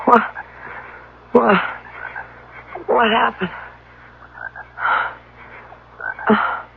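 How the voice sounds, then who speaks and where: An actress playing an anxious, polite young stranded traveler in a radio drama, stammering and breathless in a theatrical way. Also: female voice, fairly high pitch, steady tone, very slow, gravelly, full character voice. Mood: dazed, distressed. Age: young adult. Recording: muffled, hissy old radio recording.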